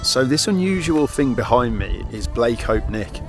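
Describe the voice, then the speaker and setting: A man's voice: mid-range voice, animated, moderate pace, over loud music. A man chatting casually to camera outdoors as a travel vlogger, upbeat and loose with fillers. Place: outdoors on a road trip.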